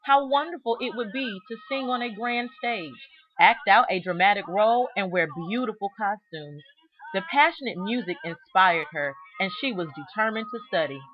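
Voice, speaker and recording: A woman narrates a children's storybook with a bright, forward voice at a medium pitch. Her delivery is deliberate and expressive, leaning on important words as a read-aloud storyteller does. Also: poor audio. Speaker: storybook reader.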